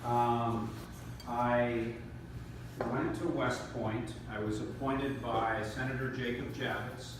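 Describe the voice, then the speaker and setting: A man's voice: medium pitch, fairly even intonation, takes it slowly, noisy background. A man in his fifties from northern New York, a congressional candidate giving a folksy, conversational campaign speech with fillers and repeated words. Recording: echoey room recorded from far off.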